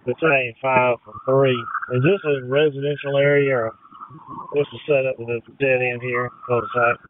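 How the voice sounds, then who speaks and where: A man's voice: medium pitch, little pitch movement, normal speed, narrow, tinny audio. Man on very noisy, distant fire radio. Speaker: fire battalion chief. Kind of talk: terse two-way radio traffic.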